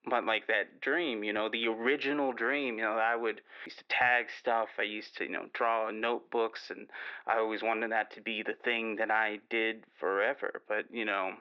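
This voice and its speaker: A smooth, lowish voice, slightly muffled. A man acts out a guilt-ridden baker who misses his artist days, confessing in a hesitant, rambling way in a radio drama. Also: flat delivery, normal speed, natural voice. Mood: wistful guilt. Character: wistful, guilt-ridden baker who once dreamed of art. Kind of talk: improvised actual-play radio drama.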